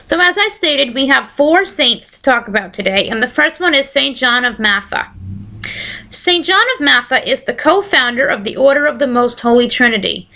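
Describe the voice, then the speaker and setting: A woman's voice: sits high, animated, moderate pace, vocal fry, sharp tone. A woman hosting a casual solo podcast, chatting and reading aloud, her voice slightly scratchy today. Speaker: podcast host.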